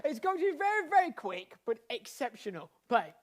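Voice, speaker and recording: A man hosting a live comedy show, interrupting and repeating phrases excitedly. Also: extremely high pitch, fairly quick. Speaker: director-style host of improvised show.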